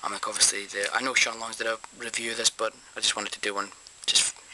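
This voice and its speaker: Scottish guy rambling on about a toy, bright tone, bit of hiss. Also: fairly low pitch, level tone, quick pace, low-quality recording. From Scotland. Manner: enthusiastic, rambling with many fillers. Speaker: toy reviewer. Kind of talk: casual toy review vlog. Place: small room at a desk.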